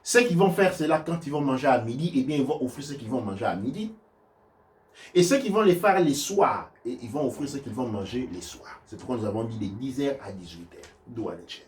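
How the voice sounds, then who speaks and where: A man teaching about ancestors and offerings, answering questions in a halting, emphatic way with many repeated words. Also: mid-range voice, animated, far from the mic, a little hiss or hum. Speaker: spiritual teacher. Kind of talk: religious teaching, live Q&A.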